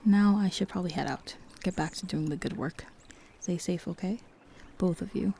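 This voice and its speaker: Gravelly fry, dark mellow tone, woman acting as determined loyal hero, earnest, audio roleplay. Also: on the low side, normal speed. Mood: concerned, fond.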